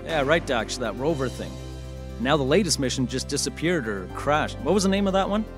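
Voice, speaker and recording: An actor playing an upbeat, boyish radio show host who chats informally and with enthusiasm in an audio drama. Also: male voice, medium pitch, lively intonation, a little fast, music in the background, close to an everyday voice. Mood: excited, chatty. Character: upbeat male late-night radio host, chatty and boyish.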